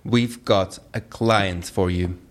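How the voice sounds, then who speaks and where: Brusque, low-voiced handler. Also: male voice, steady tone, slow pace. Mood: businesslike. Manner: brusque, commanding. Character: curt, menacing Russian government handler, male. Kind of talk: audio drama acting.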